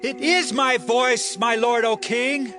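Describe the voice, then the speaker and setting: An earnest young warrior, high-pitched and declamatory. He speaks archaic lines with righteous passion and humility, as in an audio Bible drama with music underneath. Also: fairly even intonation, slow pace, sharp tone, heavily acted. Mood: impassioned pleading. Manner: declamatory, impassioned archaic delivery. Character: earnest, righteous young warrior pleading humbly with his king.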